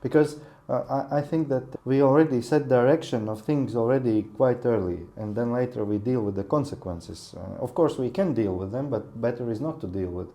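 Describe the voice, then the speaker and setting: A man's voice: mid-range voice, fairly quick, hardly any pauses, warm tone. A man hosting a business podcast, asking thoughtful, hesitant questions with frequent restarts. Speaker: podcast host. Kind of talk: interview questions.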